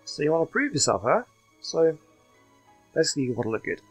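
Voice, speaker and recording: A man's voice: on the high side, very animated, quick pace, breathy edge, faint music behind, low-quality recording. A man hosting a gaming video with casual, rambling, hesitant commentary.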